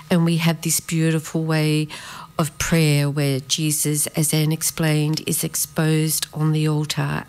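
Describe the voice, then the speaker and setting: Female guest speaker, deep register, little melodic movement, measured and hesitant personal testimony. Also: a little mellow.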